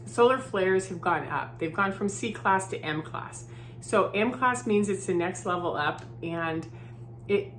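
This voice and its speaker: A woman with a slightly low voice who sounds groggy from a head cold. She talks in a loose, chatty way, with plenty of "um" and "right?". There is a faint hum behind her. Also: a bit bright. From Southern California. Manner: chatty, filler-heavy, often adds "right?". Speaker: vlogger. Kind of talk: casual spoken vlog. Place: her new home, indoors.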